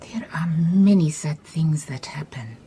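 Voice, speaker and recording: Woman detective, radio drama, Southern African accent, lowish lively voice, shrewd, kindly, formally polite. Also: a little hiss or hum. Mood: calm, businesslike. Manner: measured, politely firm, formal. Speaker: lead actor in a radio drama. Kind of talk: radio drama acting with reflective first-person narration.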